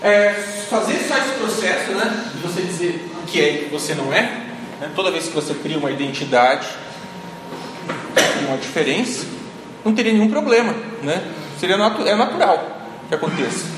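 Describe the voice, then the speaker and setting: Male lecturer, high-pitched, keeps checking in with "right?"; roomy hall sound. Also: animated, a little fast. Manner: frequent "né?" tag questions, thinking aloud. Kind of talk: classroom lecture. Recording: echoey hall, noisy ambience.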